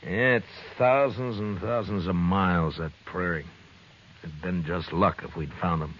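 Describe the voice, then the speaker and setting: Casting: weary American frontier marshal, deep bass, understated old-radio western delivery, dull recording. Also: male voice, fairly even intonation, a little slow, slight background noise. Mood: dry, resigned. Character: weary, gruff frontier marshal of Dodge, adult man. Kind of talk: 1950s radio western acting with first-person narration. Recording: muffled old radio broadcast, music behind.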